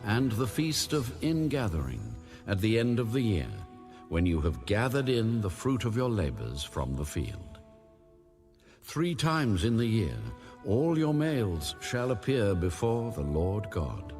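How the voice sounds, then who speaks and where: A man reading scripture as a scripted audio Bible narration in a measured, solemn manner. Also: lowish voice.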